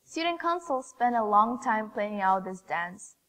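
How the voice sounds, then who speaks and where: A woman's voice: on the high side, lively intonation, moderate pace, fairly bright tone. A young woman in her teens reading scripted school news announcements as a student anchor. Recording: reverberant room.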